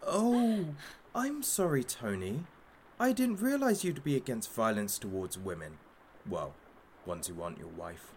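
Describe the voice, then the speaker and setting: A man's voice: mid-range voice, very animated, measured pace, darkish tone, slight background noise. Theatrical, wildly swooping sadistic showman. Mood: cruel, taunting. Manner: theatrical, mocking showman, taunting. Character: masked male kidnapper hosting a sadistic hostage talk show. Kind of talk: audio drama, parody talk show hosting.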